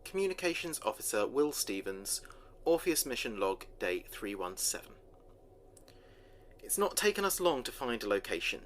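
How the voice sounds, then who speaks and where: Earnest spaceship officer played by a man, lively intonation. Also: mid-range voice, moderate pace, a little hiss or hum. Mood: serious, uneasy. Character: young-ish male space communications officer, earnest and measured. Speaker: communications officer keeping a mission log. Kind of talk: audio drama log narration.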